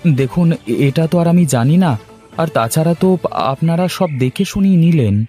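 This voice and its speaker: A man acts out a village farmer in a cartoon story. The voice sits a little high, earnest, humble and emotional, with light music underneath. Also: expressive, moderate pace, dark tone, close to an everyday voice. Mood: anxious.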